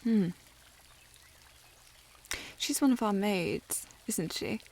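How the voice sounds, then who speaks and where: A woman's voice: mid-range voice, expressive, drawn-out words, a little mellow, full character voice. A woman playing a haughty young upper-class lady in a historical audio roleplay, formal and teasing in manner. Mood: arch, teasing.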